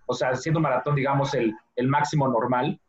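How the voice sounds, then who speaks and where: A man from Mexico City with a Mexican accent, a guest telling his life story in a relaxed interview, full of filler words. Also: medium pitch, brisk. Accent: Mexican Spanish. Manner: many fillers like "este" and "¿no?". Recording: dull lo-fi audio.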